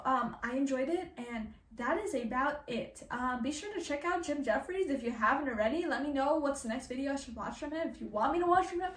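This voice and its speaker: High-pitched woman chatting casually in echoey room. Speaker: reaction video host. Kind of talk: casual reaction chat.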